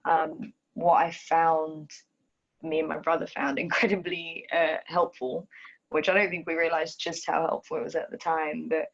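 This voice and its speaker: Young woman, London accent, from Ethiopia, low voice, thoughtful and hesitant, muffled video-call sound. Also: level tone, normal speed, vocal fry. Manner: thoughtful, hesitant with many ums and restarts. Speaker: dancer and choreographer. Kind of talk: reflective conversation.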